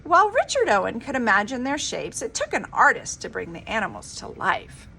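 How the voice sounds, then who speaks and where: Woman doing an expressive storybook read aloud, pitch moves around a lot, bit of noise in the background. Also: a little fast, vocal fry. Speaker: reader of a children's book.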